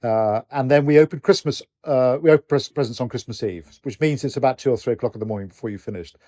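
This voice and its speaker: A man's voice: mid-range voice, fast talker, dark tone, dry recording, studio quality. An older man whose first language is Norwegian, co-hosting a podcast in casual chat, hesitant with many restarts. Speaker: co-host, retired general.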